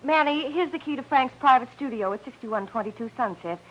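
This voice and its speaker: An actress playing a composed, polite widow in a 1940s radio drama. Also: female voice, high-pitched, lively intonation, a little fast, a bit bright. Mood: polite, subdued. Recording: muffled old radio recording.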